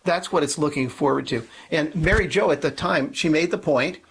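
A man with a fairly high, raspy voice talks as a podcast panelist. His style is conversational religious teaching, and he drives points home emphatically by restating them. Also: moderate pace.

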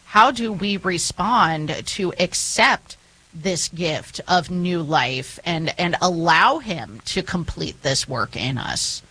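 A woman hosting a radio morning show, reading headlines and interviewing a guest in a crisp newsreader manner. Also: fairly low pitch, lively intonation, slow pace, pushed and bright, no echo, low-quality recording. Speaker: radio news anchor and host.

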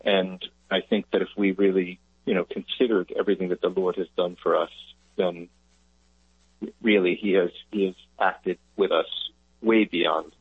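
A man calling in to a radio show by phone, talking in a thoughtful, halting, conversational way. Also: low voice, level tone, husky, dry recording. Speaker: phone-in caller. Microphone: telephone. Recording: muffled, narrow phone line.

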